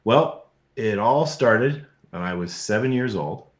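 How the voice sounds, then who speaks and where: A man's voice: on the low side, animated, slow. A middle-aged American man, a guest on a show, telling personal stories in a conversational way. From United States. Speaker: guest, filmmaker. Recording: echoey, roomy sound.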